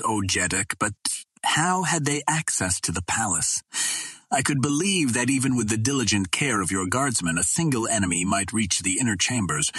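A man's voice: fairly low pitch, fairly bright tone, slightly rough, no room sound, exaggerated voice. A man voicing a puzzled Martian courtier who addresses his ruler in formal, archaic, deferential speech.

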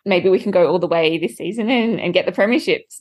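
Lower-pitched woman, casual interviewee. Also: animated, a little fast, a little mellow, husky. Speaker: interview guest, club cricketer.

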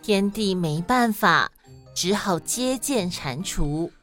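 A woman with a Taiwanese Mandarin accent narrating and explaining in a scripted, expressive style for children. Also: mid-range voice, takes it slowly, loud music behind the voice. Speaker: children's story podcast host and narrator.

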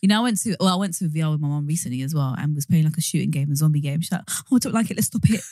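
A woman's voice: deep voice, animated, racing, mellow, dry recording, studio quality. Laughing woman telling stories. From East London. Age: 30s. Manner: laughing, storytelling. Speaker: podcast co-host. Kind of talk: casual chat.

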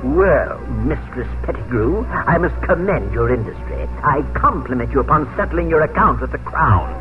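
An actor playing a pompous loyalist colonial mayor with a formal, officious manner in a 1950s radio drama. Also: male voice, mid-range voice, very animated, normal speed, phone-quality sound, close to an everyday voice. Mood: self-satisfied. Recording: band-limited old radio broadcast with music.

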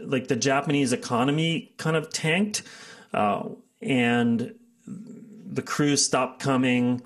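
A middle-aged man, telling his career story as an interview guest, full of fillers and restarts. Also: medium pitch. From United States. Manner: rambling, many fillers and restarts, laughs often.

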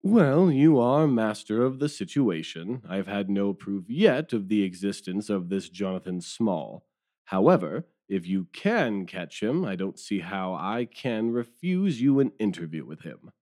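An actor playing a hearty, blustering police detective, bossy but agreeable, in an audio drama. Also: male voice, on the low side, sing-song, unhurried, warm tone. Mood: businesslike.

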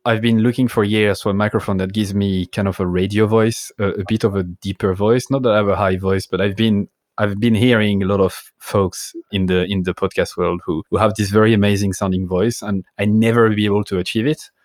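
Candid low-voiced man hosting a podcast. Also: fairly even intonation, a little fast, few pauses, a little mellow, no echo. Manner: candid, reflective, plain-spoken with occasional swearing. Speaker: podcast host. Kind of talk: podcast interview.

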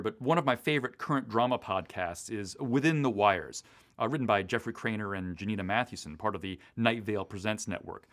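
A man's voice: mid-range voice, fairly bright tone. An American man, a podcast co-host and writer, talks in an articulate, explanatory way in a conversational discussion.

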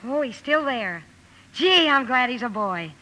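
An actress playing a bold, impulsive teenage tomboy in a radio drama, brash and forthright. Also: female voice, sits high, animated, unhurried, bright, forceful tone, exaggerated voice, poor audio. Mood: excited. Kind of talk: 1950s radio drama acting. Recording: old 1950s radio broadcast recording.

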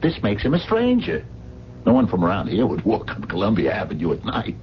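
Dogged streetwise man, low, swooping voice. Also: wildly swooping pitch, a little fast. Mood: insistent, reasoning. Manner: slangy, emphatic, reasoning step by step. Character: streetwise working-class man, dogged amateur sleuth. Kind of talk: radio mystery drama acting. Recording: muffled, noisy 1970s radio broadcast.

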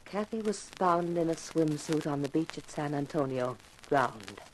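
Female as brisk, businesslike, doubting producer. Slightly low register, 1950s radio mystery style. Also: animated, slight background noise, rough recording. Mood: somber, confiding. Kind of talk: 1950s radio drama acting. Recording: vintage 1950s radio recording.

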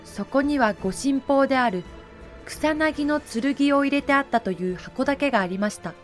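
A woman reading scripted, explanatory narration for a historical audio drama in a measured way. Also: high voice, animated, soft background music.

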